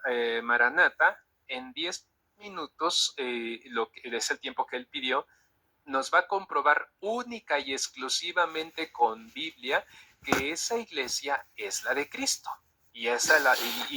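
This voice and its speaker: A man's voice: medium pitch, sharp tone, breathy edge, rough recording. A man hosting and moderating a live debate, giving instructions to a speaker and the audience. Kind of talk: spoken moderation, addressing preacher and audience. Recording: live internet stream.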